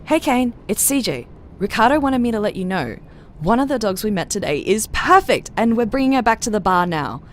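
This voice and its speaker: An actress playing a cheerful, affectionate dog lover leaving a playful voicemail in an audio drama. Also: female voice, medium pitch, sing-song, fairly quick, lightly acted. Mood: excited, playful. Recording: clear background ambient noise.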